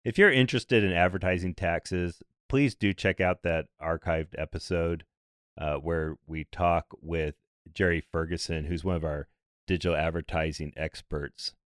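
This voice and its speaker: A man in his thirties or forties hosting a podcast, slightly hoarse and raspy, talking briskly and conversationally with a light dry humor. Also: deep voice, fairly even intonation, no echo. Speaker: podcast host. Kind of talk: scripted podcast explainer.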